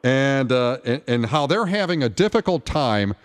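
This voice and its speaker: An American man hosts a sports radio chat from a studio. He speaks in an informal, conversational way at a middling pitch, close on a studio microphone. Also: sing-song, normal speed. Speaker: radio talk show host.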